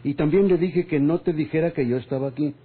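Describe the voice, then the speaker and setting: An actor playing a stern, commanding middle-aged hacienda master in an old radionovela. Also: male voice, on the high side, slightly rough. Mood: stern, controlled. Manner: authoritative, measured. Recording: tinny, phone-like old radio drama recording.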